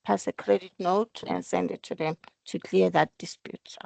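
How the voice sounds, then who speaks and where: A woman explaining work procedures in a meeting, halting at times and repeating her phrases. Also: on the low side, fairly even intonation, vocal fry, mellow, no echo. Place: recorded online work meeting.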